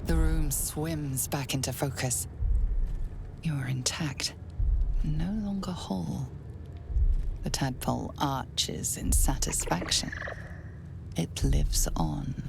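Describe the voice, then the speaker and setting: A woman playing an ominous storyteller who narrates a dark fantasy game in a hushed, deliberate, breathy way. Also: a bass voice, expressive, noisy background, exaggerated voice. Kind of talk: scripted second-person fantasy game narration. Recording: loud music under the voice. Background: loud game music.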